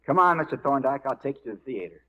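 Guy doing easygoing wry old west marshal, normal pitch, 1940s radio show, dull old recording. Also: animated, quick pace, close to an everyday voice. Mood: dry, unruffled. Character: calm, wry frontier marshal.

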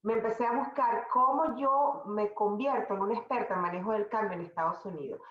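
A middle-aged Venezuelan woman, a podcast guest, telling her career story in a conversational, animated way with many restarts. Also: medium pitch, fairly quick, roomy sound, muffled recording. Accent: Venezuelan Spanish. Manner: animated, talks in restarts and asides.

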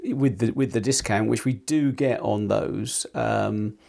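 Rambling man, gravelly voice. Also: fairly low pitch, steady tone, takes it slowly, darkish tone. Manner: rambling, many fillers and restarts. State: sore tongue. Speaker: podcast co-host. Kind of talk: casual tech chat.

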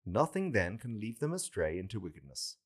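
A man voicing a calm, authoritative saviour who teaches a follower with solemn, deliberate pronouncements in a scripture reading. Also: lowish voice, breathy edge. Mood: solemn teaching.

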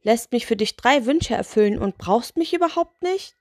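A woman's voice: fairly high pitch, lively intonation, normal speed, no echo, studio quality, pitched above the performer's own voice. A woman voicing a gigantic, grumpy male lantern genie for a children's story, huffy and sarcastic. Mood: exasperated.

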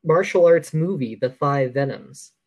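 A man's voice: fairly high pitch, a little slow, darkish tone, dry recording. A man co-hosting a podcast, chatting casually about films in a laid-back, dryly joking way. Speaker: podcast co-host. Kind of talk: casual film discussion chat.

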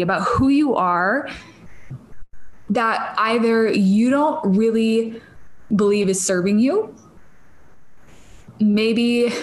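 A woman's voice: fairly high pitch, rough recording. A woman in her thirties from Minnesota, with a Minnesotan accent, hosting a solo podcast in a rambling, stream-of-consciousness way with laughing asides. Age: 30s. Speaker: podcast host. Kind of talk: casual solo podcast monologue.

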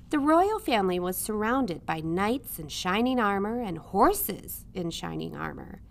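Expressive woman reading a storybook aloud. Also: mid-range voice. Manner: expressive, dramatic phrasing. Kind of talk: scripted storybook narration.

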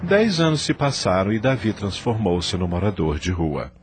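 A man's voice: lowish voice, very animated, normal speed, heavy background noise. A man with a Brazilian accent giving dramatic scripted narration for a radio drama.

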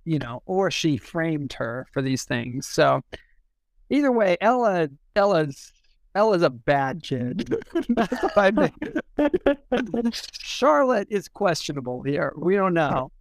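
A man in a casual literary discussion, thinking aloud in a halting way and often repeating and restarting his phrases. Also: on the high side, sing-song, gravelly, no room sound. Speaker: podcast discussion co-host.

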